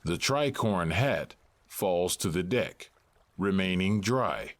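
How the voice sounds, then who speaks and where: A male narrator with a very deep voice and a slow pace. He reads scripted stage directions in a measured, matter-of-fact manner. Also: lively intonation.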